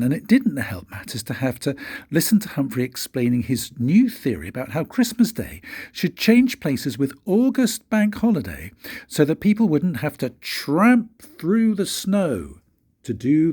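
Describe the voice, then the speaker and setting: An older man, a grandfather, reading a children's storybook aloud in an expressive, unhurried way with dramatic pauses. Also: mid-range voice, slightly rough. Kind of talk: storybook narration.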